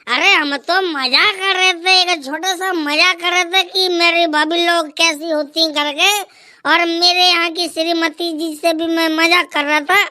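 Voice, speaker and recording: A man voicing a drunken, wheedling husband in a rustic Hindi cartoon skit, his voice squeaky and artificially pitched up, blustering and pleading in repeated bursts. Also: fairly even intonation, unhurried, bright, forceful tone, full character voice. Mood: apologetic, wheedling. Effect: pitch raised like a cartoon.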